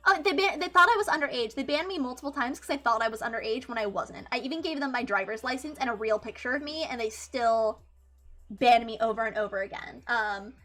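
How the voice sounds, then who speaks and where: Young woman streamer, very high voice, bright and sharp tone, rambling, swearing, faint music behind. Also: a little fast. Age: 20s. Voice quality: high-pitched voice. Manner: swears freely, rambling with many restarts and fillers. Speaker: VTuber streamer. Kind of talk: casual livestream chat.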